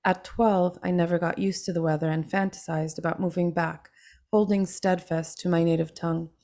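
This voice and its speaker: A young woman from Trinidad reads from her own memoir in a lower voice, measured and earnest. It is a video call recording, and she goes on to answer panel questions in the same steady manner. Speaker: author reading and answering.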